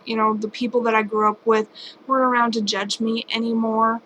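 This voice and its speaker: A woman's voice: fairly high pitch, flat delivery, brisk, a bit bright, dull audio, a little hiss or hum. Candid rambling woman in her late twenties. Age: late 20s. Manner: rambling, candid, self-deprecating. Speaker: vlogger. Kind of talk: casual personal vlog. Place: home at night.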